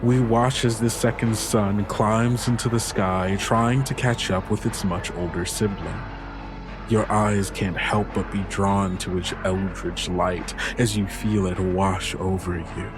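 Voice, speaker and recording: A man's voice: lowish voice, fairly even intonation, a little slow, poor audio. A man working as game master, telling a tabletop story in descriptive, theatrical narration over music. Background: music under the voice.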